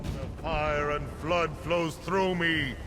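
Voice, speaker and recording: An actor playing a haughty, menacing cultist villain with demonic power in a video game. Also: male voice, a little high, fairly even intonation, slow. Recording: loud music and battle noise.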